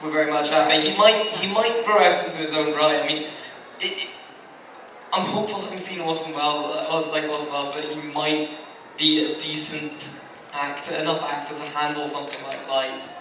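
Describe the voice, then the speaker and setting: A man's voice: on the high side, steady tone, brisk, pushed and bright. A man speaking on a convention panel, chatty and rambling, with frequent asides and laughs. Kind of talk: panel discussion. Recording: echoey hall, distant mic, background noise.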